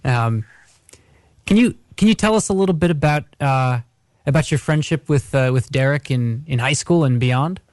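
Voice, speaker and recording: A man's voice: medium pitch, lively intonation, moderate pace, darkish tone. Casual male radio host. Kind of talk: casual tribute show talk with a phone guest.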